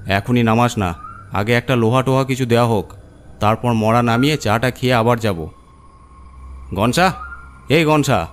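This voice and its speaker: A man's voice: mid-range voice, normal speed, pauses often, close to an everyday voice. A man acts out a shrewd village man in charge of corpse bearers in a horror cartoon. His talk is bossy and scolding, with noise behind it. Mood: commanding. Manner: scolding, commanding. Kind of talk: horror cartoon story dialogue.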